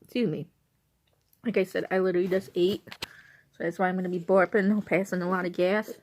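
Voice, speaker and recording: A young woman from Illinois with an Illinois accent, her voice hoarse and her nose stuffy. She talks casually to a handheld camera from her couch at home, rambling and circling back over her own sentences. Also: on the low side, fairly even intonation, brisk, darkish tone, a little hiss or hum. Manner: rambling, repeats and restarts herself. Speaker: vlogger.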